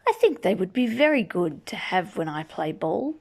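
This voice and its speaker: Female storybook reader, playful and very lively in pitch, slow-paced with some creak, stretching words for young listeners. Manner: playful, drawn-out emphasis. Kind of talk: animated children's read-aloud with asides.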